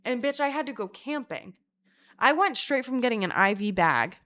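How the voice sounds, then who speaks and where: A woman telling a rambling, profane story in a casual vlog, mocking herself and breaking into loud laughter. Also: fairly high pitch, moderate pace. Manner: self-mocking and animated, bursts of loud laughter. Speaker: vlogger.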